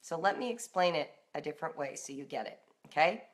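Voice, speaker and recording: A woman's voice: low voice, lively intonation, brisk. A middle-aged American woman coaching parents in an informal live talk, speaking directly and emphatically as if in conversation with her listeners. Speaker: parenting and homeschool coach, live broadcast host.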